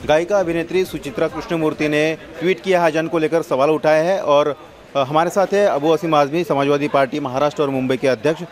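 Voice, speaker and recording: A man's voice: fairly high pitch, steady tone, quick pace, soft background music. A man working as a news reporter, introducing a guest and asking for comment. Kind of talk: field introduction and question.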